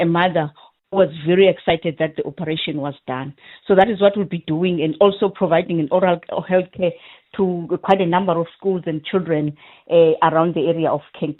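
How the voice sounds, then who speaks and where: A South African woman speaks formally and haltingly as an official being interviewed. Her voice is fairly low, heard through a narrow phone line. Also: steady tone. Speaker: provincial health official. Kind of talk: live radio interview. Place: phone call.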